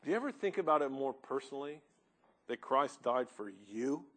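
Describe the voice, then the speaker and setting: A man preaching a sermon, loud and earnest, reading hymn verses with rising force. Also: medium pitch, big pitch swings, moderate pace.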